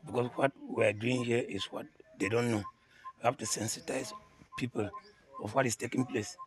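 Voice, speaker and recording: A man's voice: medium pitch, steady tone, normal speed, crowd noise. A man giving an unscripted interview answer in plain, short phrases as a seminar participant.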